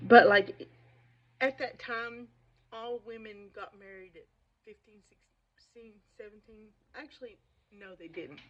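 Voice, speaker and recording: A woman's voice: on the high side, a bit bright, lo-fi sound. A woman giving casual, opinionated book commentary in an emphatic, digressive, conversational way, with a slight speech impediment. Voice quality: speech impediment, slows down on hard sh sounds. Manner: emphatic, digressive, conversational, asking "right?" and "okay?". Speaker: book commentator on own video channel.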